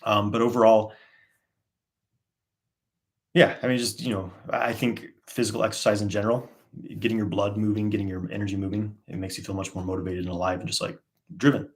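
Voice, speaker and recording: A man in his thirties answers viewer questions on a live stream. His voice sits on the low side, and he talks in a loose, relaxed way with plenty of fillers. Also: brisk. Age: 30s. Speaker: host. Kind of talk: live Q&A, casual chat.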